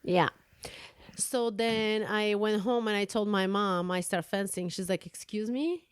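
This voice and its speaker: A woman's voice: medium pitch, measured pace, clean tone, no room sound. Bulgarian-accented woman, forties, chatty podcast co-host, dry close mic. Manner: chatty, laughs often, searches for words. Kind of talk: casual storytelling.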